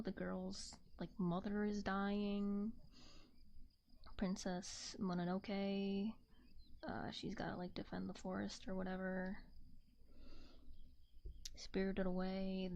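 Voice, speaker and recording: Soft-spoken woman, creaky mellow voice. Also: mid-range voice, muffled recording. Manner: rambling, hesitant, informal. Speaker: streamer. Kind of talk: casual ASMR chat on an art stream. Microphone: close binaural ASMR microphone.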